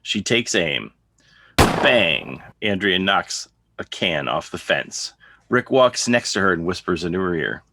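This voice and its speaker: A man's voice: low-pitched, sing-song, measured pace, very noisy. A man narrating stage directions for an online table read of a comic, in a scripted style. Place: video call. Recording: online video call.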